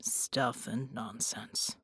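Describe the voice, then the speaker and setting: Woman voicing a low, murmuring, stoic man. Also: steady tone, drawn-out words, a bit bright, husky, no room sound, whispered, heavily acted. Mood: grave, forgiving. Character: gruff, stoic, gentle older comrade, a steadfast man. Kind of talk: audiobook character dialogue.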